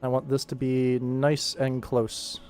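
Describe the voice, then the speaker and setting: A man's voice: mid-range voice, completely flat delivery, slow pace, a little mellow, clear, resonant tone. A man chatting casually as a livestream host while he works, with a dry, tongue-in-cheek sense of humour. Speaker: livestream host, animation director. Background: music.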